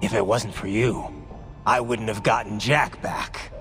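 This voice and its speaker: A man's voice: fairly low pitch, steady tone, unhurried, over music. An actor playing a hardened cyborg swordsman in a game cutscene, terse and matter-of-fact. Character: hardened cyborg swordsman, adult man, blunt. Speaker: video game protagonist.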